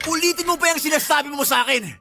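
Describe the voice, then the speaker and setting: A man's voice: very high voice, rapid-fire, gravelly, music in the background. A man playing a belligerent, boastful stepfather in a radio drama, with a Filipino accent and a confrontational, domineering way of talking. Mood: angry, bullying. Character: belligerent, boastful live-in stepfather, quick to bully.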